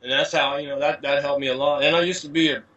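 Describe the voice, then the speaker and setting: A man's voice: on the high side, fairly even intonation, quick pace, a bit bright, muffled recording. A man from the USA, a guest on an interview, talking in a rambling casual way with lots of "you know" fillers. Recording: distant from mic.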